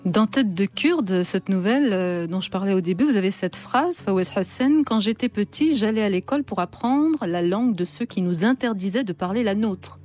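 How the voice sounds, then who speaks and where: Radio interviewer, woman, mid-pitched, poised and literary. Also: animated, a little mellow. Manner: measured, literary phrasing. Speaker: radio host.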